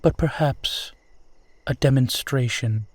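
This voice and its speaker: A man plays an ancient, suave male vampire in a roleplay audio. He speaks very slowly and formally in a mid-pitched voice, close and dry. He is proud and theatrical, with an amused condescension toward the mortal he guides. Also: no echo. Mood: amused, probing. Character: suave 450-year-old male vampire guiding a lost stranger, proud, amused. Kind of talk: slow dramatic roleplay audio monologue.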